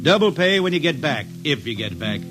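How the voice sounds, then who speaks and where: A man's voice: on the high side, big pitch swings, brisk. An actor playing a cocky, folksy, hard-drinking spaceman in a 1950s radio drama, with a rural American drawl and a sly, irreverent way of talking. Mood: teasing, unimpressed. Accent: American rural hillbilly. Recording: old 1950s radio broadcast with music.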